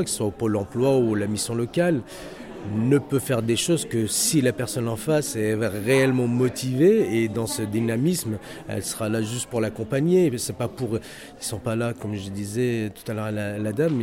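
Hesitant man with a North African accent. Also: mid-range voice. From Algeria. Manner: hesitant, many fillers and restarts; earnest, motivational. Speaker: head of a security training center. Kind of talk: interview testimony. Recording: noisy crowd around the speaker. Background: crowd chatter.

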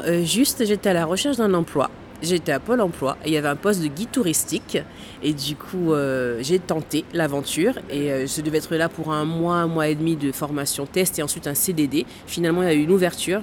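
A woman's voice: low voice, a little fast, a little mellow. Woman tour guide explaining things outdoors, faint noise. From born in Guadeloupe, raised in French Guiana. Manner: explanatory, hesitant with many fillers, laughs now and then. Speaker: tour guide at a space center. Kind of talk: interview answers.

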